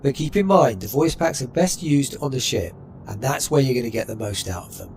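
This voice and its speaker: A man narrating a game walkthrough in a measured, matter-of-fact way. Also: medium pitch, lively intonation, darkish tone. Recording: music playing behind.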